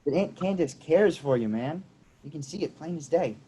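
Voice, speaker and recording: A man playing a gentle, earnest young farm boy who speaks in a soft, placating way. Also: fairly high pitch, lively intonation, normal speed, slightly rough, low-quality recording. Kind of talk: staged play reading.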